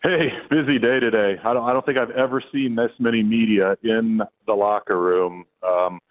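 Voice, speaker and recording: A man's voice: on the low side, steady tone, moderate pace. A man calling in to a sports radio show as a reporting guest, telling stories in a conversational way. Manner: conversational, storytelling with restarts. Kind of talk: casual call-in chat. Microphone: phone. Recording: tinny phone line.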